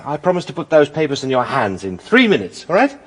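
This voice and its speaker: Lively gentleman sleuth. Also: fairly high pitch, fast, husky, full character voice. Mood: eager. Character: keen, plucky gentleman amateur sleuth. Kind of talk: radio drama acting.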